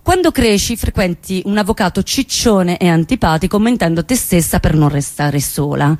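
A woman's voice: lowish voice, lively intonation, moderate pace, darkish tone, dry recording, close to an everyday voice. An actress as a wry, lovesick woman, close dry sound. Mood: wry, resigned. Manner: dramatic, sardonic. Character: wry woman recounting her lovesick teenage years, dreamy then scornful. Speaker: stage actress. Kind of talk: theatrical monologue.

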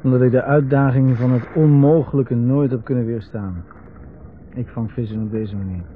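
An actor plays an aloof older brother who speaks bluntly and with dry mockery. His voice is mid-pitched, in a muffled 1970s radio play recording with clear ambient noise behind it. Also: fairly even intonation, moderate pace, close to an everyday voice. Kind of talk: 1970s radio drama acting.

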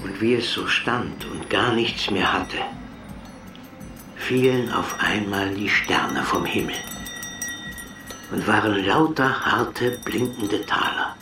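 A man's voice: fairly low pitch, expressive, takes it slowly, pauses often. A man giving a scripted recitation of old fairy tales and rhymes. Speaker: reader of tales and verse. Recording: roomy, distant from the mic. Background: music.

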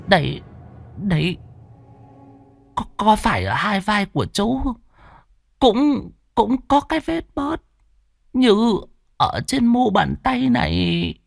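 Male narrator doing a shaky, tearful old woman, high and soft, slow, over faint music. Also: sing-song, gravelly, no room sound, studio quality, full character voice. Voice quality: weak, trembling, stammering. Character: frail old woman lottery seller, tearful.

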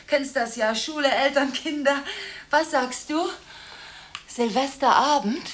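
A woman's voice: sits high, slow pace, a little hiss or hum. An actress playing an agitated, contradictory woman in a German radio drama, talking impulsively through one side of nonstop phone calls. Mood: flustered, chatty. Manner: breathless, impulsive, swinging between protest and hushed brooding.

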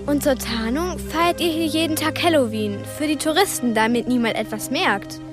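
A woman's voice: high voice, moderate pace, over music, natural voice. An actress playing a plucky, curious twelve-year-old girl in a radio play, direct and insistent. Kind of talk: radio play acting and narration.

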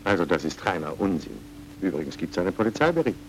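Low, swooping, evasive film-noir gentleman. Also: sing-song, fast talker, a little hiss or hum, poor audio. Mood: evasive, probing. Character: evasive, smooth foreign man, feigning concern. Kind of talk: German-dubbed film noir dialogue.

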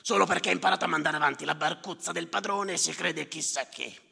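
A gravelly, sardonic bureaucrat on stage. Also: male voice, a little high, fast talker, bright, forceful tone, full character voice. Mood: scornful. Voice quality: gravelly, rasping. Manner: sardonic, contemptuous, obsessively repetitive. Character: callous, muttering male bureaucrat tallying drowned migrants. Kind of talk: theatrical stage monologue. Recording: reverberant hall, live stage.

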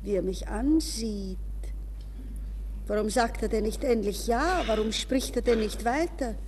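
A woman's voice: on the high side, a little slow, a little mellow, close to an everyday voice. An actress playing an anxious, proud young woman in a nervous, pleading manner, in the style of 1960s radio drama. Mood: inner anguish. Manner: breathless, nervous, shifting between polite speech and inner thoughts. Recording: reverberant, hissy 1960s radio recording.

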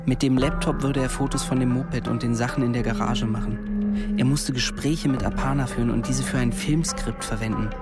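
A man's voice: medium pitch, steady tone, normal speed, loud music behind the voice, natural voice. An actor playing an insistent, calculating young man who questions a woman and narrates in a radio drama. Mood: brooding, calculating.

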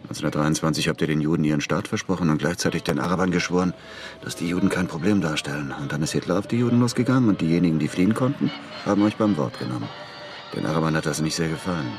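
An actor playing a tough, sceptical American war veteran in a radio crime drama, blunt and dryly probing. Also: male voice, very deep voice, rapid-fire, soft background music.

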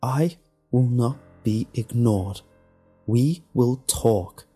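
A man's voice: a little high, very animated, unhurried, faint music behind, voice pushed up. A man voicing a jealous, demanding mistress in an audio story, hammering out each word with emphasis. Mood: cold, insistent. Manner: forceful, emphatic syllables. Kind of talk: dramatic audio story dialogue.

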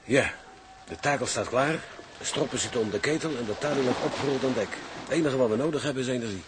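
An actor playing a wary, sardonic sailor who questions everything in a Dutch audio drama. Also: male voice, mid-range voice, fast talker, close to an everyday voice. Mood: businesslike. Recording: very noisy outdoor sound-effects bed.

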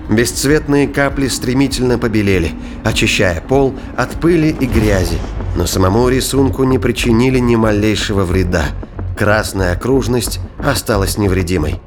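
A man reading scripted narration for a science-fiction thriller audio drama. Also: lowish voice. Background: music.

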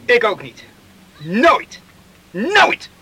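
A man's voice: very high voice, huge pitch swings, extremely slow, bright, forceful tone, slightly rough, exaggerated voice, poor audio. An actor playing a stubborn, defiant young man in a radio play, blunt and dismissive in manner. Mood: defiant, irritated. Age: young adult.

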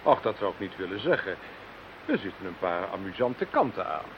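An actor playing a smug, condescending scientific expert in a radio play, speaking formally and pompously. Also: male voice, sits low, very animated, fairly quick. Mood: smug, fascinated. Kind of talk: Dutch radio play acting. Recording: dull, hissy radio play recording.

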